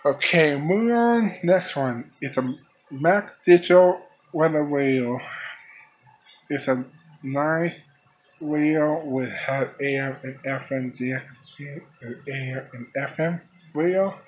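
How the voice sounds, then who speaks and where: A man casually showing off gadgets in a halting, repetitive, plain-spoken way, recorded on a handheld camera in a small bedroom. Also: a little high. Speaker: vlogger showing a weather radio collection. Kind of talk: casual product showcase. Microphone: handheld camera held by the speaker. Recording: noisy handheld camera audio.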